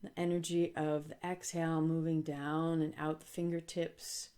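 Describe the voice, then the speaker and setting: A woman leading a guided relaxation as a yoga instructor, speaking calmly and gently with deliberate pauses. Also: low-pitched, clean tone.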